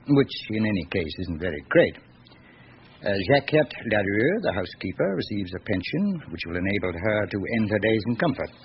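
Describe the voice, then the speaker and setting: An actor playing a genial, worldly seventy-seven-year-old lawyer in a classic radio drama, musing and reminiscing urbanely with thoughtful hums. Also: male voice, on the low side. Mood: measured, urbane. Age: older. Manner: musing, discursive, urbane, with reflective hums and pauses. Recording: dull, hissy old radio recording.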